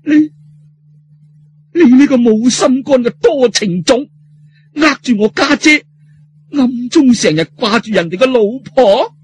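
A man's voice: very high voice, measured pace, pauses often, slightly rough, no echo, pitched above the performer's own voice, heavily acted. A man voicing a vengeful masked woman in Cantonese, mocking and relentlessly accusing in an audiobook drama. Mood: angry, accusing. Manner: mocking, interrogating.

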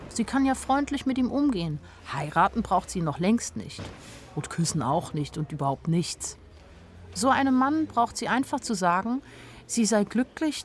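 An actress playing a naive, sharp-eyed young woman who tells her own story in a radio play, artless and plain-spoken. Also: fairly low pitch, slight background noise, close to an everyday voice. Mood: wry. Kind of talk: first-person radio play narration.

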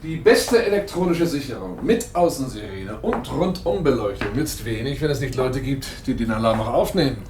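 Male actor, wary security man for radio drama, insistent and questioning, mid-pitched, noise behind him. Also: lively intonation, moderate pace, hardly any pauses, darkish tone, lightly acted. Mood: concerned. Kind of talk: 1980s German radio drama dialogue.

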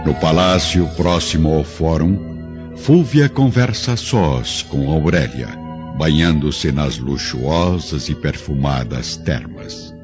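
A man's voice: very deep voice, expressive, slow, heavily acted. A man narrating a radio drama in a solemn, literary, dramatic style. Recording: dull lo-fi radio drama, music behind.

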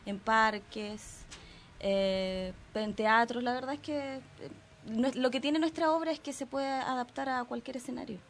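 Woman, theater guest, fairly high voice, hesitant with pauses, faint background noise. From Santiago, Chile. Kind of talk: radio interview.

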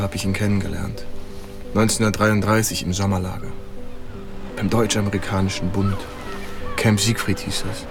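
An actor playing a troubled young German-American soldier, confiding hesitantly in an intimate radio drama. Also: male voice, lowish voice, close to an everyday voice.

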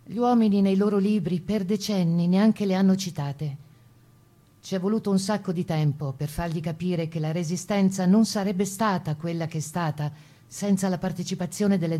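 An actress plays a woman partisan recalling wartime in a radio drama. Her voice is fairly low and soft-edged, dark and relaxed in tone. She tells it earnestly and steadily, with sober resolve. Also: close to an everyday voice. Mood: earnest, resolute. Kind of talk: dramatised testimony reading for radio.

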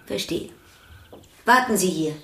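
Stern gatekeeper, echoey room. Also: female voice, on the low side, expressive, bright, forceful tone, full character voice. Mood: officious, suspicious. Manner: brisk, officious, disapproving. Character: stern, suspicious, bossy female household gatekeeper. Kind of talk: radio drama acting.

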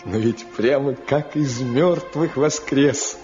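Actor as excitable old village father, unhurried. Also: medium pitch, natural voice. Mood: excited. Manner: repeats words for emphasis, exclamatory. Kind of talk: Soviet radio drama acting. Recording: muffled 1970 radio play recording, faint music.

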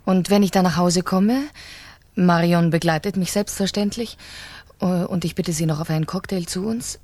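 An actress playing a cold, calculating wife who plots in a precise, commanding manner in a German radio crime drama. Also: female voice, on the low side, fairly even intonation, moderate pace, darkish tone, dry recording, close to an everyday voice. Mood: coolly calculating. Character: cold, calculating French wife plotting her husband's murder.

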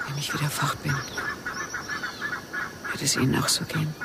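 An actress playing an intense, lovesick woman in a radio drama, low and breathy, speaking in a halting, intimate way. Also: completely flat delivery, lightly acted. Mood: wistful, pleading. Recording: noisy outdoor ambience with insects.

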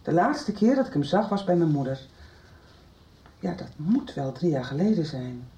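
A woman's voice: low-pitched, sing-song, normal speed, mellow, breathy edge, full character voice. An actress playing a young woman in a radio soap, telling things in a plain, matter-of-fact way. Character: young woman with a mother in Berlin. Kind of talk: 1990s radio drama acting.

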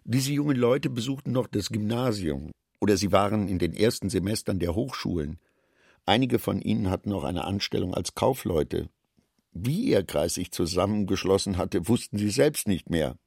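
A man narrating a literary radio drama in a deliberate, matter-of-fact manner. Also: on the low side, lively intonation, gravelly, darkish tone, husky, no echo, clean studio sound.

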